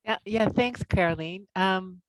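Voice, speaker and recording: A woman's voice: medium pitch, a little slow, a little hiss or hum, low-quality recording. A woman from the United States with an American accent introducing herself fluently on a video call. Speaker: workshop co-host.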